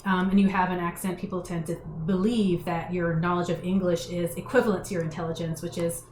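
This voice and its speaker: A woman's voice: lowish voice, flat delivery, a little fast, darkish tone. A woman hosting a radio discussion, speaking casually and reflectively as she thinks her points through. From immigrant to the United States. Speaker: radio show host. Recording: roomy echo, faint background noise.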